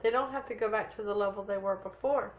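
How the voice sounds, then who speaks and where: A woman's voice: mid-range voice, fast, slight background noise. A woman giving a tarot reading to viewers in an earnest, repetitive way, checking in with "okay?" and losing her voice a little. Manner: earnest, repeats points with "okay?". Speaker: tarot reader.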